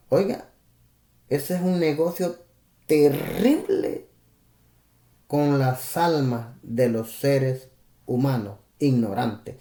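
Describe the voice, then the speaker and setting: Salvadoran pastor preaching, warm and echoey. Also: male voice, mid-range voice. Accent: Salvadoran Spanish. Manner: conversational preaching with rhetorical questions and asides to listeners. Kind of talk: preaching on a live stream.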